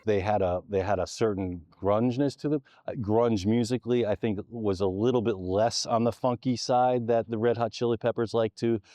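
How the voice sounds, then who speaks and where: A man answering interview questions thoughtfully, pausing often with ums. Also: on the low side, steady tone, mellow, no room sound.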